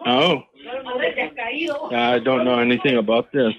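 Over a dull phone line, a man answers briefly and casually. His medium-pitched voice is low-key and hesitant. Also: steady tone, unhurried. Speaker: prank call recipient. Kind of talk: short, casual phone replies.

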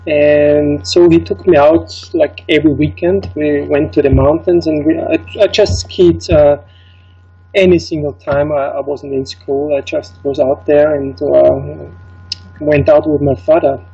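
A man's voice: fairly high pitch, level tone, unhurried, vocal fry, dark tone, husky, lo-fi sound, soft background music. A middle-aged man with an Austrian accent, German as his first language, telling his life story as an interview guest, with many fillers and restarts. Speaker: ski instructor.

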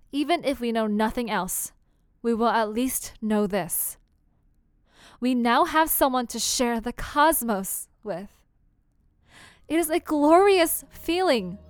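A woman playing a nervous, awestruck alien scientist who speaks in halting wonder in an audio drama monologue. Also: fairly high pitch, no room sound, clean studio sound.

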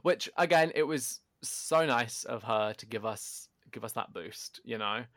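A man co-hosting a podcast in casual chat, loud and animated, often poking fun at himself. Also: mid-range voice, a little slow, dry recording, studio quality. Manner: animated, self-deprecating, loud. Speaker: podcast co-host. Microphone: home podcast microphone.

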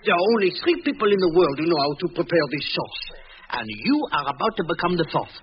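Fussy French-accented Belgian detective, old radio. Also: male voice, a little high, big pitch swings, fast. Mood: delighted. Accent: French-speaking Belgian. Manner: formal, pompous, peppered with French. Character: fussy, self-assured Belgian detective, food-loving and impatient. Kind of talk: 1940s radio acting. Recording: noisy, muffled 1940s radio recording.

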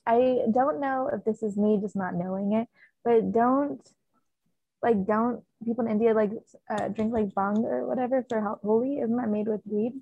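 Husky woman asking curious questions. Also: a little high, fairly even intonation, normal speed, no room sound, phone-quality sound. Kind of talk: casual interview chat.

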